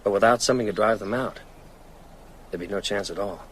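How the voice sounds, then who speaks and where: An actor playing a weary, haunted man out to hunt vampires, brooding and halting in a radio drama. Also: fairly low pitch, fairly bright tone, slight background noise, natural voice. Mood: weary resolve.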